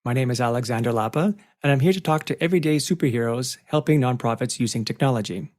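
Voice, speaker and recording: A man's voice: mid-range voice, quick pace, a little mellow. A man hosting an interview podcast, introducing and questioning a guest in a conversational style. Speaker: podcast host.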